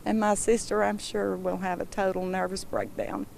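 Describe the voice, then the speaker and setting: A woman giving a halting interview as a grieving relative. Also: mid-range voice, flat delivery, vocal fry, a little mellow, a little hiss or hum, rough recording.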